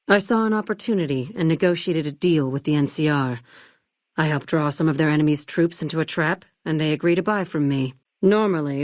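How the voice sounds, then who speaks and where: A woman acting in a video game as a shrewd, coolly controlled arms dealer and crime-family boss, speaking in a measured, polished, commanding way. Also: deep voice, a little mellow, no echo, clean studio sound, natural voice. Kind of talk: scripted game dialogue acting.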